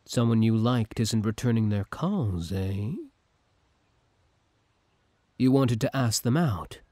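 A man's voice: fairly low pitch, slow pace, warm tone, dry recording. A young man playing an obsessive, scheming villain who feigns kindness, in an intimate, theatrical audio roleplay. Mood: smoothly manipulative. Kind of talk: ASMR audio roleplay, intimate dramatic acting.

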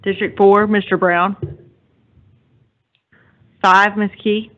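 A woman calling the roll of board members in a flat, matter-of-fact way. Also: medium pitch, slow pace. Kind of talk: roll call. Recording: tinny audio from a distant mic.

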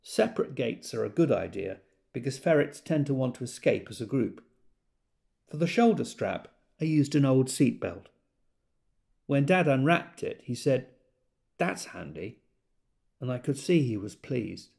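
A man's voice: medium pitch, very animated, unhurried, creaky, darkish tone. An actor playing a rueful, self-doubting everyman who tells his story in a wry, confiding, fussily precise way, as in an audio drama. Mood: plain, fond. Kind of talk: dramatic monologue, audiobook-style first-person storytelling.

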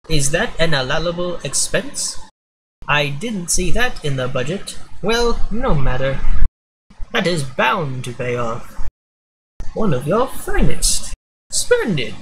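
A man performs a genteel, fussy gentleman naturalist as a video game announcer. He is prim and understated, speaking slowly with swooping intonation and old-fashioned courtesy. Some noise sits behind the amateur recording. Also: fairly high pitch, full character voice. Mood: pleased, genteel.

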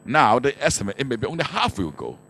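A man's voice: mid-range voice, huge pitch swings, brisk, creaky, sharp tone. An older man speaking as a business panelist on a handheld microphone, explaining in non-native English phrasing with grammatical slips. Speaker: manufacturer speaking as conference panelist. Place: conference stage, indoors, before an audience. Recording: noisy handheld mic in conference hall.

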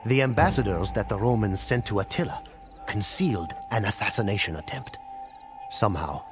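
A man reading a scripted historical tale as a storyteller, in a measured, solemn, dramatic way. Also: lowish voice, expressive. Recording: music under the voice. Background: music.

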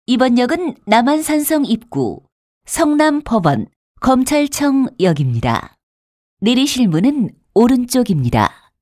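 A woman delivers scripted subway announcements. Her voice is fairly high, with very lively intonation. She is formal and polite, enunciating carefully in a very dry recording. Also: measured pace, no echo.

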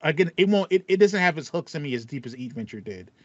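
A man's voice: fairly high pitch, animated, fast, no echo. An American man in his thirties chatting casually about games as a podcast co-host. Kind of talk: casual gaming chat. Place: small home room with acoustic foam. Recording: slightly dull, band-limited, dry sound.

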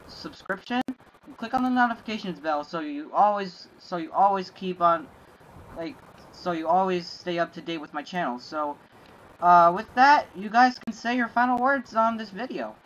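A host of a casual gaming car review talks with a group in a halting way, often repeating phrases. The pitch is medium, and faint car and game noise sits behind the voice. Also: a bit bright, lo-fi sound. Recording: noisy game and vehicle sounds.

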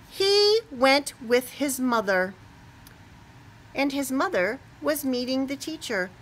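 A middle-aged American woman teaching kindergarten, talking playfully to young children as she leads a read-aloud. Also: medium pitch. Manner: animated, playful, exaggerated questions and reactions as to small children. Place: small classroom, indoors.

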